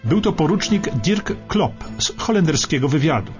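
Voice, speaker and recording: Animated, higher-pitched male documentary narrator. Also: moderate pace. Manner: emphatic, suspenseful storytelling. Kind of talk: dramatic scripted documentary narration. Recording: dull radio sound over music bed.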